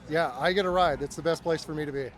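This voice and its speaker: A man's voice: a little high, fairly even intonation, quick pace. A man representing a sponsor booth, giving upbeat, promotional answers in a casual interview. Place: outdoors at an event booth.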